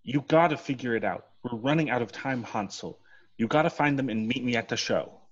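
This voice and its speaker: A man's voice: mid-range voice, a little fast, breathy edge, natural voice. A man acting an anxious investigator, urgent and insistent, in an amateur table read over a video call. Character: urgent, anxious investigator pressing a dim ally. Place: home, remote video call. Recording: dull, lo-fi video call audio.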